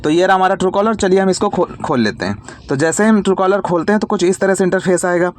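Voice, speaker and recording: A man's voice: high voice, a little fast, a little hiss or hum. An Indian man hosting an informal tech tutorial, explaining each step in a repetitive way.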